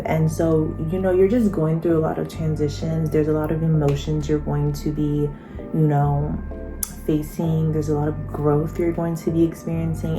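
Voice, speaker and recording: A woman in her twenties giving life advice in a casual vlog, chatty and emphatic, often checking in with her viewers. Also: low-pitched. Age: 20s. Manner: chatty and emphatic, frequent "okay?" and "y'all".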